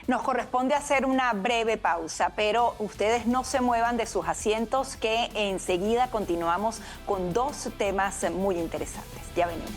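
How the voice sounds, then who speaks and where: A woman's voice: mid-range voice, a little slow, hardly any pauses, faint music behind. A woman presenting news formally and putting questions to analysts as the program's host.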